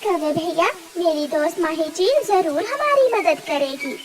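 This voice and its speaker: A woman voicing a kind female sparrow in a cartoon, earnest and gently persuasive. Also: very high voice, lively intonation, measured pace, music in the background. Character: kind, sensible female sparrow, helpful neighbour. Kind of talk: children's cartoon dialogue.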